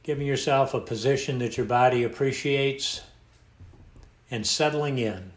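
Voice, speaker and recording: A man leading a guided meditation in a calm, unhurried manner, leaving long pauses between phrases. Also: medium pitch. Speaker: meditation teacher. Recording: echoey room sound.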